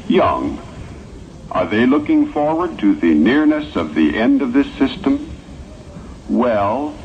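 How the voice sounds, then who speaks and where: A man narrating a scripted morality play in a measured, explanatory manner. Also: mid-range voice. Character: male stage narrator of a congregation morality play. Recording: old tinny narrow-band tape with hiss.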